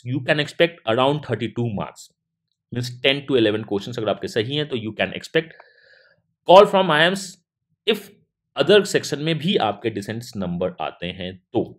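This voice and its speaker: A middle-aged man, a teacher talking students through an exam, moving between Hindi and mid-sentence and hitting key words hard. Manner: emphatic, jumps in pitch on key words. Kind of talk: analysis talk to students.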